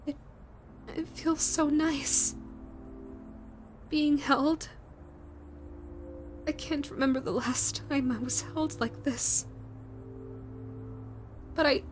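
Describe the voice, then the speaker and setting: A grieving, self-loathing yokai spirit with a scarred face, as a woman acts her out, speaking high and unhurried. She stumbles and repeats her words, breaking off mid-thought as she speaks to the listener in an audio roleplay. Soft music plays faintly underneath. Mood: flustered. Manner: halting, stammering, repeats words.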